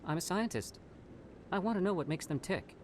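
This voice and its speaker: A man's voice: high voice, animated, fairly quick, slight background noise. An actor playing a curious, fussy male alien scientist in a video game, who sounds eager and inquisitive. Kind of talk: video game cutscene acting.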